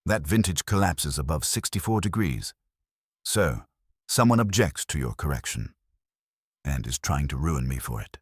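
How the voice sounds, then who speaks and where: A man voicing an imperious, disdainful male detective with a terse, pompous, measured way of talking, in an audiobook. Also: extremely low pitch, big pitch swings, breathy edge, no echo, studio quality, heavily acted.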